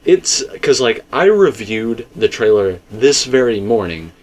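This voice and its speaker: A man's voice: lowish voice, lively intonation, unhurried. A man hosting a casual, speculative discussion, talking in a rambling, stop-and-start way and often correcting himself. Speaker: host of a game theory discussion.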